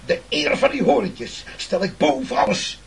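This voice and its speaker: Officious middle aged postman, super swoopy n creaky, proud of his uniform, old noisy radio drama. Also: high voice, big pitch swings, normal speed, exaggerated voice. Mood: proudly officious. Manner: self-important, officious, indignant. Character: proud, dutiful old-school male postman, thirty years' service. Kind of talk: absurdist radio play acting.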